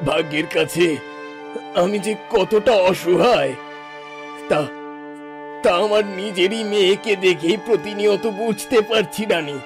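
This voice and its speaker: A sorrowful cartoon king acted by a man speaks in formal, halting phrases. His voice sits a little high for a man, heavy with helplessness, with clear music behind it. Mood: sorrowful, helpless. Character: sorrowful, helpless fairy-tale king, father of a daughter. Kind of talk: melodramatic cartoon dialogue. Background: background music.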